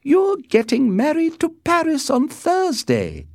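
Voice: high voice, wildly swooping pitch, takes it slowly, darkish tone, no room sound, clean studio sound, pitched above the performer's own voice, heavily acted. A performer voicing a cold, domineering noblewoman and mother in a storybook reading, with emphatic, imperious delivery. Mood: brisk, insistent.

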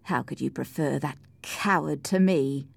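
A woman voicing a defiant, brooding, scornful man with drawn-out, mocking taunts in an audiobook story. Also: deep voice, gravelly, slightly rough, no echo, exaggerated voice. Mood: contemptuous. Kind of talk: audiobook character acting.

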